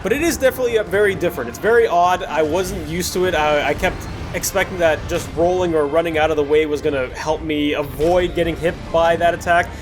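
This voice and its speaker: High-voiced man doing casual game commentary. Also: a little fast, background noise. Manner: apologetic, digressive, often backtracking to earlier points. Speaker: gaming video host. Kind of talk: casual gameplay commentary over recorded footage.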